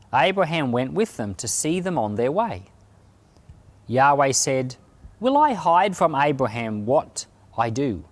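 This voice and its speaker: Expressive man reading scripture aloud. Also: mid-range voice, dry recording. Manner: expressive, deliberate phrasing. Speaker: Bible podcast reader and pastor. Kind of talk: scripture reading, dramatized narration.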